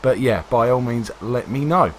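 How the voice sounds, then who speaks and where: A man hosting a game livestream, hoarse and congested from a cold, chatting casually with many fillers. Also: mid-range voice, animated, moderate pace, slight background noise. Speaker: livestream host. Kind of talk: casual gameplay commentary.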